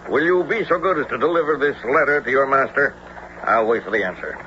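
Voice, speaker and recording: A man acts an American naval lieutenant in a radio drama. He speaks formally and briskly at a medium pitch. The recording is muffled, with distinct background noise. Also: natural voice. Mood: dutiful. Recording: dull, clearly noisy radio recording.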